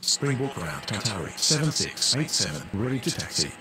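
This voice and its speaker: Man as game aviation radio voice: procedural, businesslike, animated pitch movement, heavy noise bed. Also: normal speed. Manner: formulaic, procedural. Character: male pilot or clearance controller, procedural and businesslike. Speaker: air traffic and pilot radio voice in a game. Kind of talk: scripted aviation radio phraseology.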